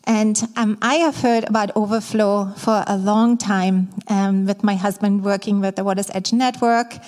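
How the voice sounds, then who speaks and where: Middle-aged German woman, guest preacher, mid-pitched, speaks openly with light fillers, long church-hall reverb. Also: steady tone. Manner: warm, candid, slightly halting with fillers. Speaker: guest speaker, ministry staff. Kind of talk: sermon to a church congregation. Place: church sanctuary.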